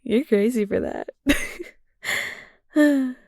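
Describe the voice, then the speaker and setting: A woman acting as a flustered, playful young best friend with a high voice full of fry. She chats and teases in a giggly, stammering way, dipping into breathiness, speaking straight to the listener in a close, dry audio roleplay. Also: takes it slowly, dark tone, no room sound, heavily acted. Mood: giddy, fond. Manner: giggly, stammering, teasing, chatty. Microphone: close studio-style mic, dry.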